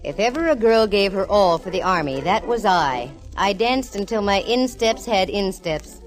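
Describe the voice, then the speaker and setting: A woman's voice: mid-range voice, expressive, normal speed, close to an everyday voice. A woman playing a wisecracking, confident private eye, narrating in wry 1940s radio style. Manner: wry, sardonic. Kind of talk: 1940s radio acting, first-person noir narration. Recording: vintage 1940s-50s radio recording, music behind.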